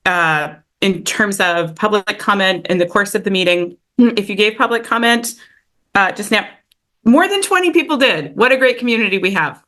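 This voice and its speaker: A woman's voice: medium pitch, fairly bright tone. A woman chairing a public meeting over a video call, upbeat and effusive, with frequent fillers. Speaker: committee chair.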